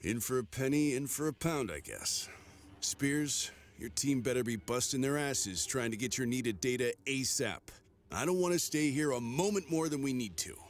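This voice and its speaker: A man's voice: mid-range voice, animated, moderate pace, slight background noise, close to an everyday voice. An actor playing a troubled, impatient male mercenary commander in a game cutscene, with a terse, weary manner.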